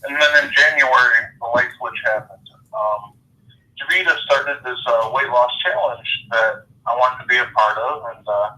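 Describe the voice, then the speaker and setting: A man's voice: mid-range voice, moderate pace, pushed and bright, far from the mic, poor audio. A man on a telephone conference call giving an informal personal testimonial as a guest. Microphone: phone call.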